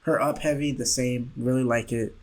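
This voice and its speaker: A man's voice: mid-range voice, steady tone, normal speed. A man with a nasal voice and a sore throat, recovering from illness, ranting in a game commentary video and getting exasperated at points. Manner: ranting, exasperated, rising and louder when annoyed. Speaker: gaming YouTuber.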